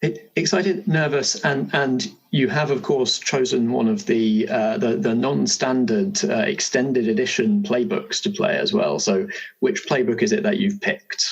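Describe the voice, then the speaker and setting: A man's voice: mid-range voice, fairly even intonation, a little slow, slightly rough, muffled recording. A man hosting a podcast in a casual, chatty style, recorded remotely at home. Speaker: host.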